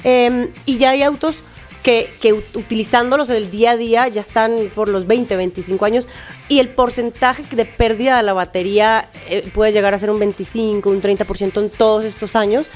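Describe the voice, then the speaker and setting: A woman's voice: on the high side, fairly even intonation, fairly quick, soft background music. A woman from Medellín, Colombia, with a Colombian accent, explaining things enthusiastically as an interview guest, with frequent fillers. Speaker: car tester, guest. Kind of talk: casual interview chat.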